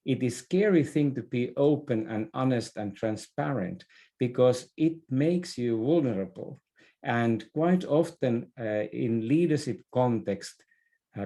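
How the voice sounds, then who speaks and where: A man speaking as an interview guest, explaining ideas in an earnest, reflective way with halting phrasing. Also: medium pitch, dark tone. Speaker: podcast guest, business expert.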